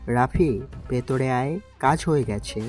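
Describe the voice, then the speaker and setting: A man's voice: mid-range voice, unhurried, darkish tone, faint music behind, natural voice. A man playing a cold, mocking young mafia boss in an audio drama, speaking in a commanding way. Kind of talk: Bengali audio story acting.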